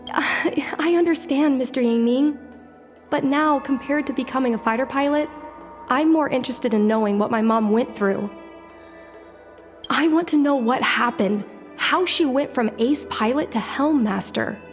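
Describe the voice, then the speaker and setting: An actress playing a curious, determined young woman, a daughter in a video game dub, with an earnest, measured delivery. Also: sits high, faint music behind, lightly acted.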